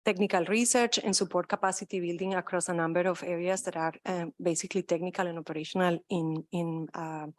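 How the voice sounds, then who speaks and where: Low-voiced Colombian woman presenting. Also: fairly even intonation, fast, hardly any pauses. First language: Spanish. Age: middle-aged. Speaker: panel moderator. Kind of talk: conference presentation.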